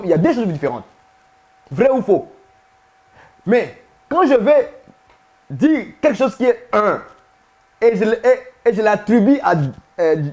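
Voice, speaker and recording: Male preacher with a high voice; insistent, repetitive teaching style, asks questions. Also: darkish tone. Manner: emphatic, repetitive, questions the listeners. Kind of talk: sermon teaching to viewers.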